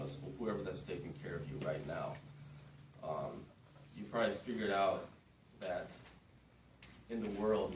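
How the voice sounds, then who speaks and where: Deep-voiced man talking to a class. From born in the United States, raised in Japan. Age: 30s. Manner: casual, frequent "um" and "okay?" check-ins. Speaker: guest speaker to students. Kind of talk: informal classroom talk. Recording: roomy, distant, noisy room recording.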